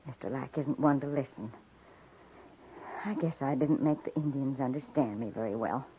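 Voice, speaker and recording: A woman's voice: extremely low pitch, moderate pace. Woman, timid guilt-ridden frontier wife, hesitant, confiding, earnest, 1950s radio western, tinny hissy recording. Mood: anxious, confiding. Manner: hesitant, confiding, self-effacing.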